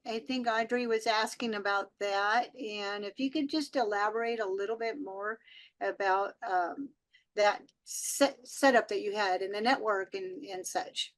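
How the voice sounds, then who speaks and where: Woman hosting a casual webinar q&a, higher voice, gushing and appreciative. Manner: effusive, appreciative. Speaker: webinar host.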